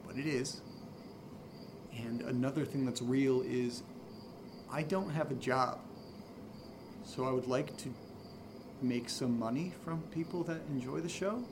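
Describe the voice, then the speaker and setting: A man playing an earnest, exasperated amateur podcaster in an audio drama, pleading haltingly and insistently. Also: medium pitch, natural voice. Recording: noticeable ambient background noise.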